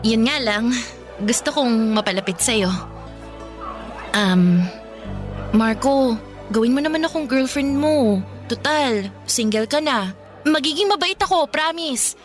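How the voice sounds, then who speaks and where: A woman's voice: a little high, natural voice. An actress playing a lovestruck, pushy young woman in a Filipino radio drama, earnest and melodramatic with a Filipino accent.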